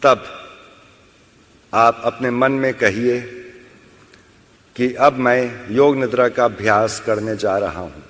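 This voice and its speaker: A man leading a guided yoga nidra session as an instructor, giving measured instructions with long pauses. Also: medium pitch, fairly bright tone. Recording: long hall-like reverb.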